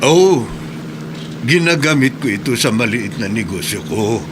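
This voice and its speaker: A man acting as a sorrowful middle-aged widower in a radio play, earnest and heavy-hearted, voice sitting at a middle pitch. behind him runs a clear hum of noise with traffic going past. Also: expressive, a little slow, lightly acted. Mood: sad. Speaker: radio drama actor.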